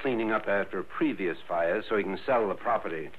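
A man's voice: on the low side, a little fast, lightly acted. An actor playing a trusting, easily flustered man in a 1960s radio drama. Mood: sociable, hesitant. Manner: animated, hesitant protests. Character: trusting, easily flustered male friend and client. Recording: tinny old radio recording, some hiss.

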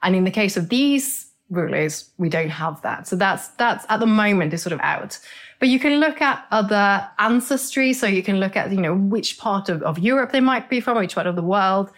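An enthusiastic middle-aged woman from Norway talks through her subject as an interview guest. Her pitch sits in an ordinary middle range. She explains at length and often repeats words as she goes. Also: animated, moderate pace. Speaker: expert guest. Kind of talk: conversational interview.

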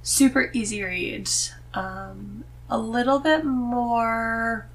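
Woman with creaky voice, chatting casually. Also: a little high, slow pace, rough recording. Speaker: podcast guest. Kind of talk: casual chat about books.

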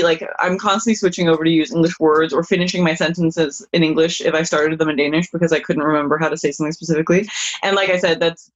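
A woman guest in a casual chat, telling stories lively, tripping over restarts and laughing along. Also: low voice, lo-fi sound.

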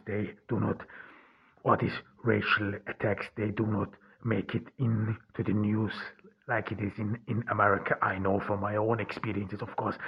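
Husky low-voiced man, halting. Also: lo-fi sound. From Turkey. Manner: halting, many restarts and fillers. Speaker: podcast host. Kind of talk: casual solo podcast talk.

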